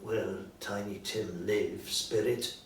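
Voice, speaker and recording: A man's voice: on the low side, fairly even intonation, slow, very echoey, far from the mic, a little hiss or hum, voice pushed down. A man voicing a fearful old miser in a storybook, pleading urgently. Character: anxious old male miser, fearful and imploring. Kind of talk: dramatic audiobook character reading.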